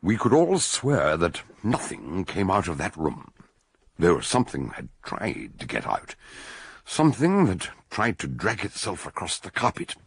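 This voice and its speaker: An actor playing a stout, wry doctor detective in a radio drama, theatrical and droll, gravelly and husky. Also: male voice, low voice, huge pitch swings, a little slow, dry recording, clean studio sound, full character voice. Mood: grave, storytelling. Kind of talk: radio drama acting and storytelling narration.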